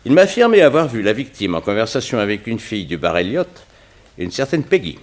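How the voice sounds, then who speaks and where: A gruff police inspector in a vintage radio crime play, with a fairly low voice. He talks in a colloquial, down-to-earth way, full of short interjections. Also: very animated, brisk. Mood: earnest. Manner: colloquial, interjecting, deferential to the detective. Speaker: actor in a radio crime drama. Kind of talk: 1960s French radio drama acting.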